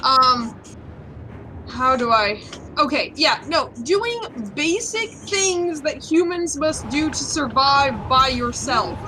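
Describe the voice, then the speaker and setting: A woman's voice: high-pitched, animated, slow pace, noisy background. A woman in her late twenties chatting casually while playing a game, opinionated and ranting with plenty of swearing. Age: 20s. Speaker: gaming channel host. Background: game music.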